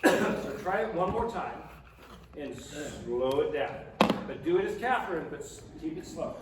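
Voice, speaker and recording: Animated male coach in an echoey room. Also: a little high, a little slow. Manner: encouraging, repeats himself. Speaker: voice acting coach leading a workshop. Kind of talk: coaching feedback to a participant on stage. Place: large indoor convention session room. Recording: very echoey room, distant mic, loud noise.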